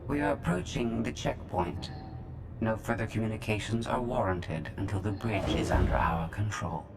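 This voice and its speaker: A woman voicing a cold, calculating female droid with formal, measured, emotionless delivery in a video game. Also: rumbling low, background noise. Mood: detached. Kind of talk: video game cutscene acting.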